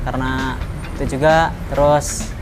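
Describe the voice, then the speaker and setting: A halting 22-year-old man from Sidoarjo in Indonesia, a student giving a casual testimonial. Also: mid-range voice, little pitch movement. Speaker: student at a language training school. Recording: music laid over the voice.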